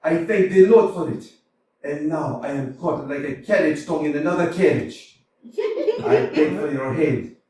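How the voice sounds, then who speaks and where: Actor reading a blustering, lovestruck landowner, high-voiced and wildly swooping, slow-spoken, heard from far off in an echoey theater. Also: male voice, very animated, slight background noise. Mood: passionate. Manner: swings from muttering to loud outbursts. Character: blustering middle-aged male landowner, hot-tempered, lovestruck. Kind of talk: staged reading of a stage comedy. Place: community theater stage. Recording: echoey hall, mic from far off.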